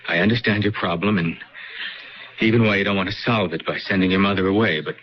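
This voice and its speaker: An actor in a radio drama playing a skeptical, frank suitor, dry and pointed but conversational. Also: male voice, sits low, fast talker, close to an everyday voice. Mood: frank, exasperated. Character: skeptical, frank man courting a secretive woman. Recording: dull lo-fi radio drama recording.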